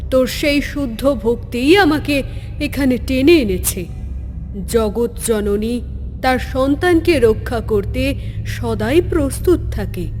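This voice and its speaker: A woman's voice: high voice, darkish tone. A woman voicing a mighty, wrathful and protective mother goddess in a devotional cartoon, solemn and declamatory. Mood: solemn, reassuring. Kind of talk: devotional horror cartoon voice acting.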